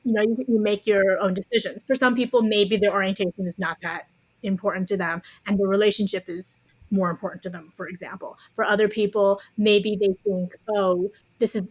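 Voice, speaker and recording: A woman's voice: medium pitch, steady tone, brisk, husky, no echo, low-quality recording. Woman in a dry, close interview recording. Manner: careful, nuanced, qualifies her points. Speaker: podcast guest, author. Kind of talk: thoughtful conversational interview.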